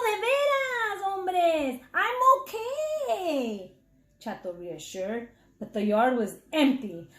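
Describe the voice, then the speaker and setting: Woman, children's read-aloud, high pitch, slow deliberate pace, dramatic teacherly storytelling, roomy echo. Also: darkish tone. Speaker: reading teacher. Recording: echoey, roomy sound.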